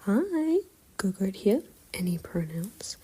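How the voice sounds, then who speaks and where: A woman leaving an informal voice note as a listener, halting and very breathy, often dropping toward a whisper. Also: mid-range voice, big pitch swings, dark tone. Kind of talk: informal voice message.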